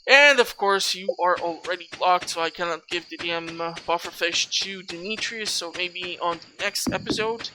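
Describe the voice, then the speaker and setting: A video creator of unclear gender gives casual, rambling let's play commentary, as if thinking out loud. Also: mid-range voice, normal speed, few pauses, a little hiss or hum, rough recording. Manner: rambling, talking to self. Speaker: gaming video creator, host. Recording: loud game music behind voice. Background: video game music.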